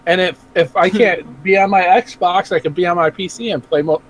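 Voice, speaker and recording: A man's voice: high voice, normal speed, slight background noise, low-quality recording. A man with a slight American Southern accent, talking in a casual podcast chat, swearing freely and laughing often. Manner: profane, laughing, animated. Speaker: podcast co-host.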